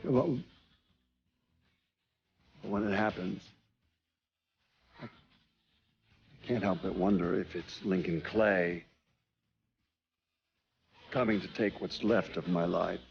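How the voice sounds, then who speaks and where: An actor playing a weary, haunted American man who gives brooding, deliberate testimony in a video game cutscene. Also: deep voice, gravelly, muffled recording, a little hiss or hum, exaggerated voice. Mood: haunted, bitter.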